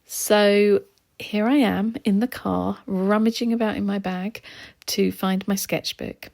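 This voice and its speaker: Slightly hoarse, chatty woman. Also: medium pitch, slow. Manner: chatty, relaxed, with soft laughs and ums. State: slightly hoarse, losing her voice. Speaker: art vlogger. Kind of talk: casual voice-over narration.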